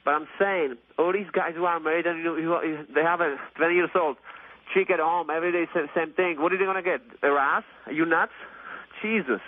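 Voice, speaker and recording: Male radio caller, late 30s, slightly high pitch, gushing and repetitive, thin telephone sound. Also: fairly even intonation, a little fast, no echo. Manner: effusive, rambling, repetitive, mildly profane. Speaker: phone-in caller. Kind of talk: casual, opinionated radio call-in chat. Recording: tinny phone line on radio.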